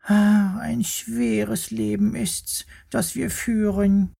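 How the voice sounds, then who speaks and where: A small, huffy worm in a children's storybook, high and pinched, as the narrator puts him on. He complains with slow, offended self-importance, his voice thin and strange beside the reader's own. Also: steady tone, gravelly, warm tone, slightly rough, exaggerated voice. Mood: indignant. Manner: indignant, huffy. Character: small cranky male worm living in an apple. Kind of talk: storybook character voice.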